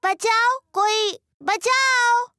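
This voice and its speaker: Casting: cheerful, bossy little piglet girl, very high voice, slow sing-song lines, cartoon dub. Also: bright, forceful tone, no room sound, clean studio sound, heavily acted. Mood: mock alarm. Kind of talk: children's cartoon dubbing.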